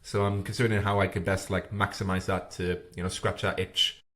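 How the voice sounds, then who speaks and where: Low-voiced man hosting a podcast. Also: level tone, fairly quick, fairly bright tone. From Wales. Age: 30s. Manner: thoughtful, hesitant, many "uh"s. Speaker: podcast host. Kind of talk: casual podcast interview.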